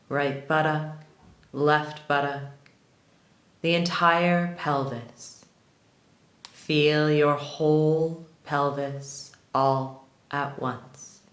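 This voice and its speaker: A woman leading a guided relaxation as a meditation teacher, soothing and unhurried, leaving long pauses between short phrases. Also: deep voice, fairly bright tone. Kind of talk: guided relaxation, yoga nidra narration. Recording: roomy, echoing sound.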